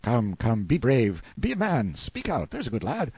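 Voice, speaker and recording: A man's voice: medium pitch, wildly swooping pitch, moderate pace, darkish tone, slightly rough, dry recording, full character voice. A man playing a brash, sardonic, boastful hero in an audiobook, with emphatic, exclamatory delivery. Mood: coaxing. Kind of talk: audiobook character acting.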